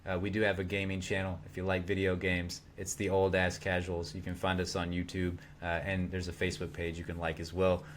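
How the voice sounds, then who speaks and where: A man's voice: low-pitched, monotone, fairly quick, hardly any pauses, a little hiss or hum. A man co-hosting a livestreamed TV review, joking around and swearing freely. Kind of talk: casual chat.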